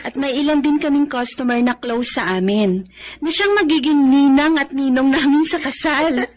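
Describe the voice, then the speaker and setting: An actress playing a gentle, devoted blind wife and mother in a Filipino radio drama. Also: sits high, moderate pace, a little hiss or hum, natural voice. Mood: earnest, content.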